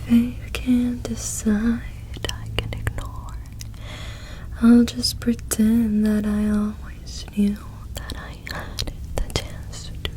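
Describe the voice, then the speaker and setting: A woman doing ASMR, softly singing and whispering song lyrics in a breathy, intimate way. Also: fairly high pitch, flat delivery, takes it slowly, poor audio. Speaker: ASMR performer.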